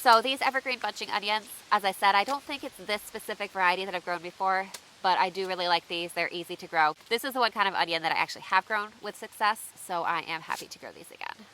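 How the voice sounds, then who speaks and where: A woman with a bright, forward voice chats to her viewers outdoors, a little stuffy and nasal from allergies. She talks easily and keeps asking viewers for their tips. A faint hiss sits under the recording. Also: medium pitch, fairly even intonation, fast, few pauses, poor audio. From United States. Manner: chatty, asks viewers for tips. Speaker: homestead vlogger. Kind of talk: casual unboxing chat to viewers. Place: outdoors under shifting trees.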